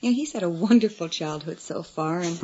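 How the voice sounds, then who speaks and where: An actress playing a concerned, practical American wife and mother in a radio drama, coaxing and gently insistent. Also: lowish voice, fairly quick, close to an everyday voice. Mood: reassuring, insistent. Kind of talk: naturalistic radio drama acting.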